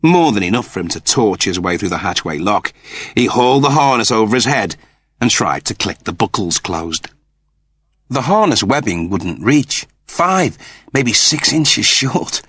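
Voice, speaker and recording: Male audiobook narrator, low husky voice, close dry sound, dramatic storytelling. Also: very animated, measured pace, fairly bright tone, no room sound. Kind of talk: scripted dramatic fiction narration.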